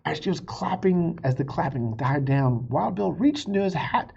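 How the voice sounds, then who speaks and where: Male children's book reader: animated, explanatory, very raspy, swinging pitch, dull lo-fi sound. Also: moderate pace. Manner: animated, explains words to young listeners. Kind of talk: expressive storybook read-aloud with asides.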